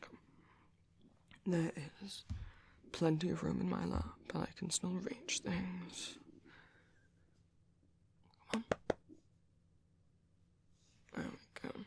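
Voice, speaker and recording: A man playing a tender, caring vampire priest in an ASMR roleplay, speaking softly and hesitantly in a soothing, coaxing way. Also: sits high, takes it slowly, long pauses, slight background noise, exaggerated voice. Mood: tender concern. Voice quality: soft, breathy, hushed. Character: caring, tender vampire priest comforting his sleepy partner.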